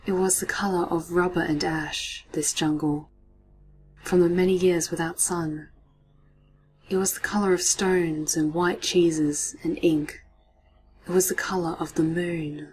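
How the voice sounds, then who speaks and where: A woman reading a story aloud, often dropping into hushed, near-whispered narration. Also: on the low side, fairly even intonation, a little slow, slight background noise, poor audio.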